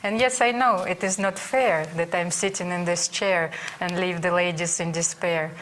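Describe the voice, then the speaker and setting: A woman's voice: on the low side, fairly even intonation, normal speed, a bit bright, a little hiss or hum, poor audio. A woman commentator speaking to her co-host and reading out a homemade rhyming poem. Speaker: chess broadcast commentator.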